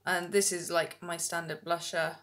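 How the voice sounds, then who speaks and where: A young woman, slightly hoarse from a cold, talks through a makeup look step by step in a relaxed way with many ums, filmed at home by a camera in front of her. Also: on the low side, normal speed. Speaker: makeup vlogger. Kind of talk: makeup tutorial. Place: small indoor room at home.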